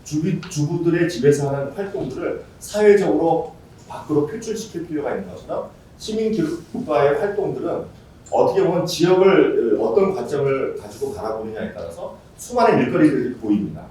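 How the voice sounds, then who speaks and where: A middle-aged man giving formal discussion remarks at a conference, earnest and measured, with many fillers and restarts. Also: a little high, lively intonation, mellow. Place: large conference hall. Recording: very echoey hall, voice from far off, faint room hum.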